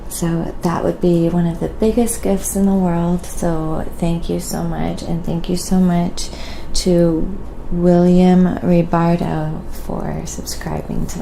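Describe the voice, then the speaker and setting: Female ASMR streamer: very slow, gentle and hushed delivery, informal live chat, clear background noise. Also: fairly low pitch, rough recording. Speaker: live stream host and painter.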